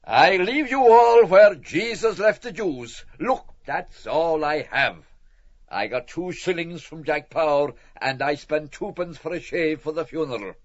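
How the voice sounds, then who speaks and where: A man's voice: on the high side, unhurried, dry recording, muffled recording, natural voice. An actor playing an irascible, sardonic, hard-up middle-aged Irish father in a literary audio drama, blustering and mocking with theatrical flourish. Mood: sardonic, grudging.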